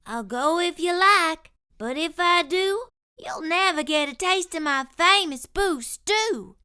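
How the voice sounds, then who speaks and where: A woman's voice: very high voice, animated, takes it slowly, pushed and bright, pitched above the performer's own voice, exaggerated voice. A woman voicing a bold, unflappable backwoods cook who speaks in plain, folksy country talk. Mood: unruffled.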